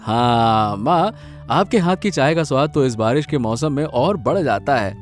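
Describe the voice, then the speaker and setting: A man playing an affectionate, eager young husband and son in a cartoon story, warm and enthusiastic. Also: mid-range voice, animated, a little slow, faint music behind, close to an everyday voice.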